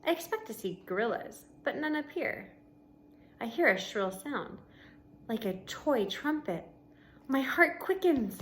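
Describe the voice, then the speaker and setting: A woman reading a children's book aloud like a teacher to pupils, expressively, with deliberate pauses between short phrases and casual explanations. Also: high voice, expressive. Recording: noticeable background noise.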